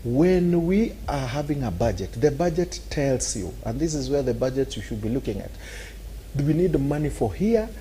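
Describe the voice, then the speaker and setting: Animated Kenyan man, dark voice. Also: medium pitch, normal speed, a little hiss or hum. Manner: animated and argumentative, acts out scenes with sound effects. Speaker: political commentator. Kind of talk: talk show discussion.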